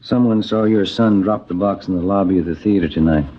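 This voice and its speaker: An actor playing a polite, steady American police detective in a plain, matter-of-fact radio drama manner. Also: male voice, sits low, brisk. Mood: firm. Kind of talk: low-key 1950s radio police drama acting. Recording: dull old radio recording.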